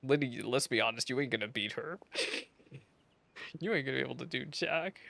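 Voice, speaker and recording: A woman's voice: very deep voice, brisk, creaky, dry recording. A woman giving casual, slangy, reactive commentary while she plays a game. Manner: informal, animated, slangy. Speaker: gaming reaction streamer.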